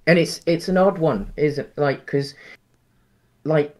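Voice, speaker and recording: A man's voice: on the high side, unhurried. Man, 30s, casual film chat, rambling restarts, headset call audio. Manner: rambling, many restarts and fillers. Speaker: podcast co-host. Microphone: headset on a video call.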